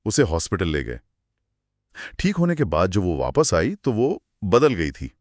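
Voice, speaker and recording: A man's voice: sits low, wildly swooping pitch, measured pace, a little mellow, no echo, studio quality. A man hosting a conversational horror storytelling show, narrating in dramatic, short suspenseful phrases. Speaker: host narrating horror stories.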